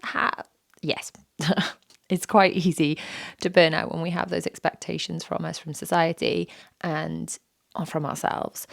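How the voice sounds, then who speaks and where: Croaky low-voiced mum. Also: female voice, level tone, a little slow, no echo, clean studio sound. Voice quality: low and gravelly. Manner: candid, self-deprecating, repeats phrases for emphasis. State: croaky, sore-throated voice. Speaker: podcast host. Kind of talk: reflective solo podcast talk.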